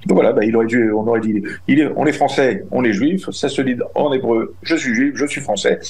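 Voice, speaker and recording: A man's voice: medium pitch, brisk, a little mellow, rough recording. Indignant middle-aged man, emphatic. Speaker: guest, community representative. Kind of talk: radio interview answers.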